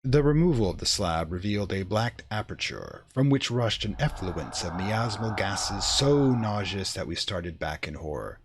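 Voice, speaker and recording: A man narrating a horror radio play in a grave, theatrical, deliberate manner. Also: fairly low pitch, expressive, background noise. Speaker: narrator and performer.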